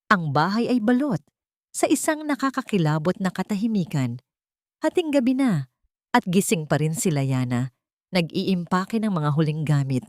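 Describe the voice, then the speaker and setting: A woman's voice: medium pitch, darkish tone, no room sound, clean studio sound. A woman narrating a scripted dramatic story as a storyteller.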